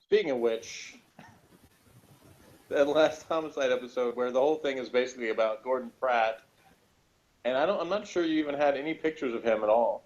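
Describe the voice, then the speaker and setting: A man's voice: medium pitch, brisk, pauses often, darkish tone. A man co-hosting a podcast, giving casual, joking and sarcastic commentary on a TV episode. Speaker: podcast co-host. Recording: dull lo-fi recording.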